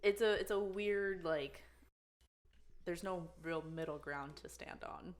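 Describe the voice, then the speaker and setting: A woman's voice: lowish voice, takes it slowly. A woman on a casual podcast, talking through her experiences thoughtfully and hesitantly, with many "like" fillers. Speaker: podcast co-host. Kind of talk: casual chat.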